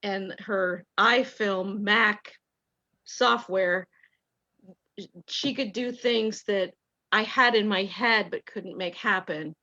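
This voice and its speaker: A woman's voice: mid-range voice, takes it slowly, pushed and bright. A woman speaking reflectively as a theatre director in an interview, over a dull, roomy video call.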